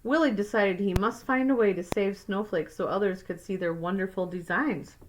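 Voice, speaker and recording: A woman's voice: mid-range voice, moderate pace, slight background noise, poor audio. A woman teacher reading a picture book aloud to young children, adding simple explanatory asides. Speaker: second grade teacher.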